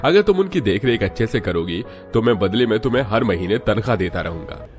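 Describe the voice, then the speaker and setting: A man's voice: lowish voice, expressive, brisk, faint music behind, close to an everyday voice. A man voicing earnest village men in a cartoon moral story, speaking emphatically. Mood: grateful, generous. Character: grateful village headman and humble poor young husband.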